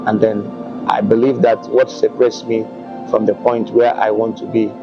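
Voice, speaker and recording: A man with a low, gravelly voice speaks slowly and carefully as an interview guest, often pausing to collect his thoughts. He comes from Gabon and speaks as a prophet. The sound is dull and lo-fi, with clear music playing behind him. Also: level tone. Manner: measured, hesitant with many ums. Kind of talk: calm interview answers.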